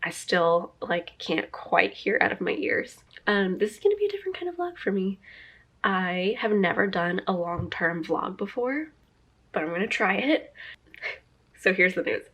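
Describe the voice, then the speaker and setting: A woman's voice: medium pitch, very animated, normal speed, fairly bright tone, breathy edge. A young woman vlogging to camera in a chatty, rambling way, a little stuffy from a cold and laughing often. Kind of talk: casual talking-to-camera vlog.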